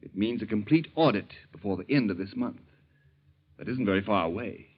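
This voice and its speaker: An actor in a 1940s radio drama playing a nosy young bank teller, casually probing and falsely helpful, on a muffled old recording. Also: male voice, fairly low pitch, expressive, a little fast. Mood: insinuating.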